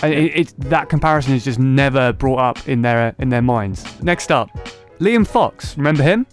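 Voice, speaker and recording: A man's voice: mid-range voice, animated, normal speed, soft background music. A man hosting a casual political podcast, sarcastic and mocking. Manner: sarcastic, mocking voices of others. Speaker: podcast host.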